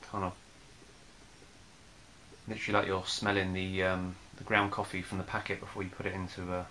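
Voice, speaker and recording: A young man casually reviewing a beer at home, talking in a relaxed, hesitant way with many fillers. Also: low voice, a little fast, slight background noise, low-quality recording. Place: small room in front of a plain wall.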